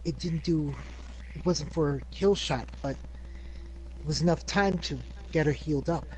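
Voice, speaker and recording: Guy with a kinda high voice explaining stuff in an rpg, repeats himself, little hiss. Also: normal speed, darkish tone, poor audio. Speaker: player. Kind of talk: in-character talk in a tabletop game.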